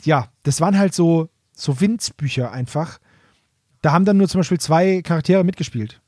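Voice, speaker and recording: A man co-hosting a podcast in casual chat, often halting and repeating the starts of his phrases. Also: fairly high pitch, dark tone, dry recording, studio quality. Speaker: podcast co-host. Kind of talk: casual chat about audio dramas.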